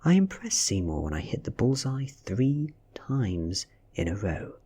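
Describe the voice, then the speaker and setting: Breathy British man reading softly, unhurried. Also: fairly low pitch, sing-song. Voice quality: soft, breathy, often near whisper. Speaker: audiobook reader. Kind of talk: soft-spoken ASMR story narration.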